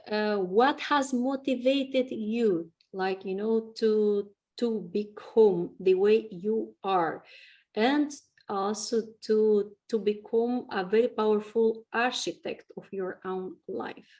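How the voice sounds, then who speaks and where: A woman interviewer who speaks haltingly, searching for words as she asks questions and makes remarks. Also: mid-range voice, a little mellow.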